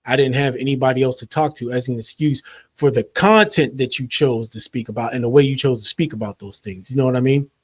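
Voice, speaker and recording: A man hosting a commentary show, giving his measured, skeptical take and often trailing off quietly. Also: mid-range voice, no room sound. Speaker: commentary host. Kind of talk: opinion commentary.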